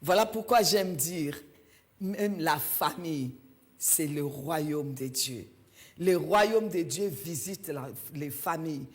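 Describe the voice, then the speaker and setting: A woman's voice: deep voice, animated, slow. A woman from Belgium, preaching a sermon in a church and stressing her points by repeating key phrases.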